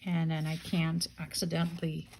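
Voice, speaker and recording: A woman's voice: low voice, monotone, normal speed, background noise. A woman hosting a casual craft-along, unhurriedly thinking aloud and murmuring to herself as she works. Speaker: craft tutorial host.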